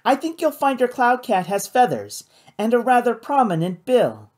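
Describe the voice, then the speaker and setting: A narrator voicing a smug, patronising upper-class gentleman scientist in an audiobook, pompous and condescending. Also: mid-range voice, very animated, normal speed, pitched above the performer's own voice, lightly acted.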